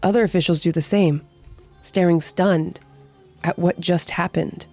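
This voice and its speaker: A woman's voice: lowish voice, steady tone, a little slow, a little mellow. A woman with a slightly nasal voice, co-hosting and narrating on a podcast. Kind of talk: casual chat and scripted narration. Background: background music.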